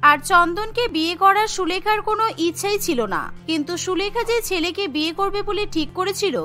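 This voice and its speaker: A woman's voice: very high-pitched, normal speed, bright, forceful tone, faint music behind. A woman narrating a scripted cartoon story.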